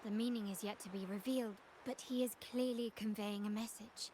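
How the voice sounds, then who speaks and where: An actress playing a formal, cool and calculating heiress of a powerful family in a video game, speaking in measured, composed lines. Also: female voice, mid-range voice, fairly even intonation, background noise, lightly acted. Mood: grave, composed.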